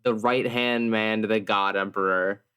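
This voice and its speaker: A man improvising story narration and casual table talk in a tabletop game, haltingly searching for phrases. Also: lowish voice, fairly even intonation, a bit bright, clear, resonant tone.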